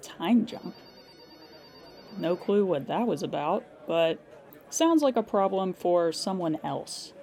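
Woman playing a calm, patient hotline operator. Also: fairly low pitch, takes it slowly, background noise. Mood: dry, exasperated. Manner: calm customer-service politeness with dry exasperation. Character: weary, patient female emergency hotline operator. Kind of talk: audio comedy drama acting.

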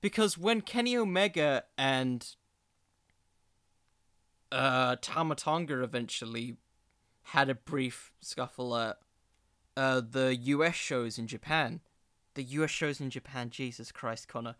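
A man's voice: mid-range voice, measured pace, pauses often, a bit bright, smooth, dry recording, studio quality. A man hosting a podcast in a casual commentary style, thinking aloud in a rambling, self-deprecating way. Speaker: podcast host.

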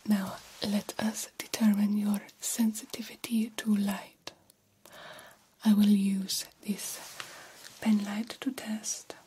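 A woman playing a formal, caring vampire doctor in an ASMR roleplay, whispering softly and reassuringly. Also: mid-range voice, level tone, slow, a little hiss or hum, lightly acted. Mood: calm, attentive. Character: formal, caring vampire doctor examining a young bat fledgling.

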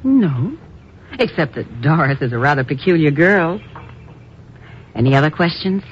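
A woman's voice: low voice, expressive, normal speed. Sophisticated society lady, airy and teasing, widely swooping inflection, evasive charm, vintage radio thriller. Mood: evasive, dismissive. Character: poised, smooth society woman, affectionate and evasive. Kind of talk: 1950s radio drama acting. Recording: muffled, hissy old radio recording.

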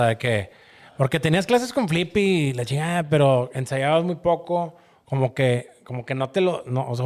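A man's voice: mid-range voice, darkish tone. A man. He talks in casual podcast style, earnest and rambling, leaning heavily on slang.

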